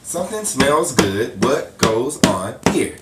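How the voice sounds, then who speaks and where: A man's voice: mid-range voice, sing-song, slow, recorded from a distance, loud music behind the voice. A man rehearsing as a radio drama actor, trading playful, joking banter and reading out lines. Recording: echoey room, off mic, faint noise.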